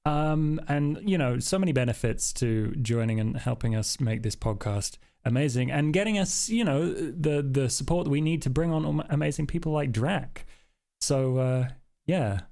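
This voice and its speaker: A man's voice: medium pitch, normal speed, creaky, warm tone. A man hosting a podcast in casual, unscripted chat, with an enthusiastic, welcoming manner. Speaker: podcast host and game storyteller.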